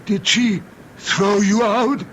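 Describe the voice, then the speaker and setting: A man's voice: high voice, fairly even intonation, slow, a bit bright, a little hiss or hum. A male actor playing a mocking, malicious hairy monster who tempts and goads in archaic, theatrical phrases in an old-time radio drama. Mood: probing, mocking.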